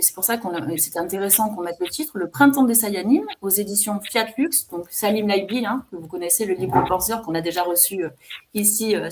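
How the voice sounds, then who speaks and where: A woman's voice: medium pitch, a little mellow, a little hiss or hum. Hesitant woman interviewer. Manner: hesitant, many fillers while framing questions. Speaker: channel host, interviewer. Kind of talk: interview questions.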